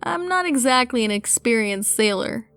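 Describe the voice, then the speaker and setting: A woman's voice: fairly high pitch, lively intonation, normal speed, creaky, music in the background. A woman playing a tough, impatient monster hunter in a fantasy audio drama, blunt, casual and wry. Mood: stubborn, wry.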